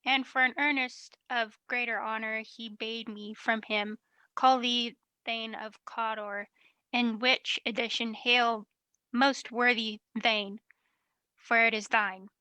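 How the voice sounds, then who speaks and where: A woman's voice: on the high side, fairly even intonation, slow pace, bright, forceful tone, clean tone, no room sound. A young woman playing a formal royal messenger in a Shakespearean radio play, declaiming ceremoniously. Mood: proud, formal. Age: young adult. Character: formal noble messenger bearing royal praise.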